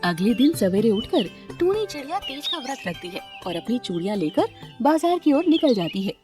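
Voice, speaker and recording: A woman's voice: fairly high pitch, very animated, normal speed, a little mellow, soft background music. A woman reading scripted narration for a children's story as its narrator.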